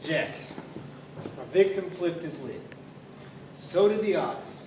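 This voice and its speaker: A man's voice: fairly high pitch, expressive, takes it slowly. A man playing a skeptical, exasperated detective, blunt and wry, in a hard-boiled radio play. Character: skeptical, exasperated, practical police detective partner. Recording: echoey, distant, noisy stage recording.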